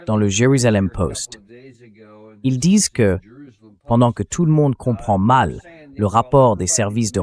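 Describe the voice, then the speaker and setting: Low-pitched man delivering political commentary. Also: darkish tone. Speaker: host. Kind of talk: political commentary, dubbed translation read by AI voice.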